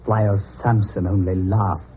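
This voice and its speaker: An actor playing a vengeful, thin-skinned fortune teller in a radio drama, with measured, formal, ominously polite delivery. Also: male voice, on the low side, level tone, breathy edge. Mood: smug, aggrieved. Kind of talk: old-time radio drama acting. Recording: noisy tinny old radio recording.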